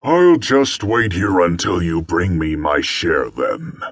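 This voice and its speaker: A man voicing a sly male lion who talks in a low, slow, husky voice and demands his share. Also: big pitch swings, no echo, clean studio sound, heavily acted. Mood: smug.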